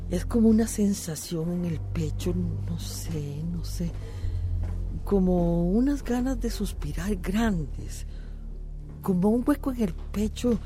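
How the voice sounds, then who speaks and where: A woman's voice: lowish voice, mellow. A woman playing an uneasy, pensive village woman in a radio drama, reflective in manner. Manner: slow, sighing, reflective. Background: background music.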